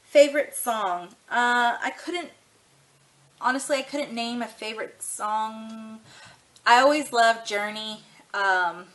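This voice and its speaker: An easygoing woman in her thirties chats to camera in a rambling, frank way, full of ums and you-knows. Her voice sits a touch high, with a bright, forceful edge. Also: slow pace. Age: 30s. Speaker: vlogger doing a tag video. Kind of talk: casual chat to camera.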